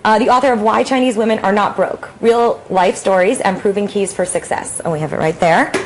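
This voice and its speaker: A woman's voice: medium pitch, brisk, noisy background. A woman hosting a TV interview show, asking friendly prompting questions. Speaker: TV show host.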